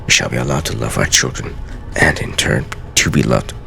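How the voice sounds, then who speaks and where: Aging video game master assassin, acted by a man: rock-bottom pitch, heavy gravelly fry, solemn, weary, measured journal delivery. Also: pushed and bright, faint music behind, full character voice. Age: older. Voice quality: very deep, gravelly. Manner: grave, measured, theatrical dramatic reading.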